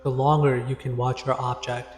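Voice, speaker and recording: A man leading a guided meditation in a calm, deliberate, instructive way with pauses. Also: medium pitch. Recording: echoey long reverb, music behind. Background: background music.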